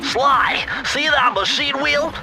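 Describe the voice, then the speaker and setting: A man voicing a nerdy, fussy young turtle gadget expert in a cartoon video game, pedantic and excitable. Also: very high-pitched, slow, creaky, music in the background, heavily acted. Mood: eager instructing.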